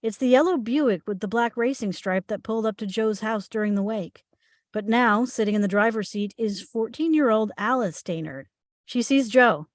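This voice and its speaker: A woman's voice: fairly high pitch, moderate pace, no echo. Woman narrating a script, dry close sound. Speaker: stage direction reader. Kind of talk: scripted narration at a table read.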